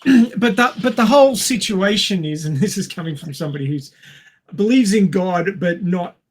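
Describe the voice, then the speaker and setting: A man with a fairly high, husky voice joins a casual podcast discussion. He starts and restarts hesitantly, qualifying each point as he makes it. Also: lively intonation, normal speed. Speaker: podcast panelist.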